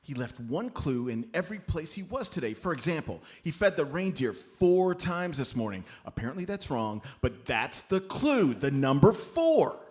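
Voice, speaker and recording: A man's voice: on the high side, sing-song, normal speed, few pauses, a little mellow. A man playing a stubborn, self-satisfied detective in a comedy radio play, mock-serious and emphatic, recorded live on stage. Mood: explaining.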